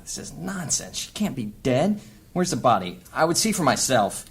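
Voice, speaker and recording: A woman's voice: rumbling low, sing-song, moderate pace, husky, heavily acted. Blunt, battle-hardened fantasy adventurer, acted by a woman. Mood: shocked, insistent. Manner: blunt, insistent, formal phrasing.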